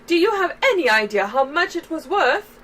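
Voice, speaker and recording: A woman's voice: high-pitched, very animated, moderate pace, a bit bright. A woman voicing a haughty, stern noblewoman who gives clipped, imperious commands in a game story. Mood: cold anger. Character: haughty, stern noblewoman scolding a servant girl.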